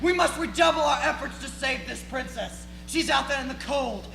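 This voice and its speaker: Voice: sits high, moderate pace, creaky, a bit bright. A performer playing a pompous, melodramatic adventuring prince in a grandiose, declamatory stage manner. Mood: pompous, earnest. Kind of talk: stage readers theatre. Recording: echoey hall, music over voices.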